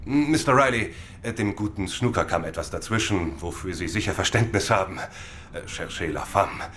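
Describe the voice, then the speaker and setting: An actor playing a smooth-talking, vain, evasive sea captain in a radio crime drama, with a grandiloquent, hedging manner. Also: male voice, low voice, expressive, normal speed, fairly bright tone, slight background noise. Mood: jovial, expansive. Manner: grandiloquent, chuckling, hesitant hedging.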